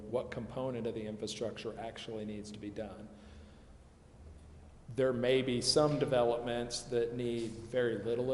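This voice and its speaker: A man's voice: mid-range voice, a little mellow. A man explaining rules at a public meeting, carefully qualifying points and working through hypothetical examples. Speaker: consultant advising a city committee. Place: city council chamber. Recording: echoey meeting chamber, faint background noise.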